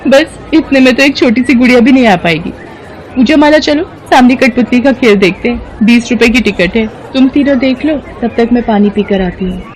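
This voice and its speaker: A woman voicing a boastful, teasing young village girl with a chatty, mocking manner in an animated story, music behind. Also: a little high, normal speed, some chatter behind, slight background noise, lightly acted, poor audio. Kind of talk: animated moral story voice acting.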